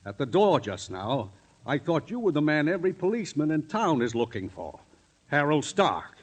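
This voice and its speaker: An actor playing an anxious, earnest town official in a 1950s radio drama, speaking deliberately and nervously. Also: male voice, on the high side, big pitch swings, slightly rough. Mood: grave, accusing. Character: anxious, earnest male town official, protesting his honesty.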